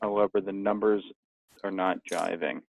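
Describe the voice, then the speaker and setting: A man's voice: sits low, fairly even intonation, moderate pace, gravelly. A man speaking conversationally as a student on an online class call, with dull conference-call sound. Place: video conference.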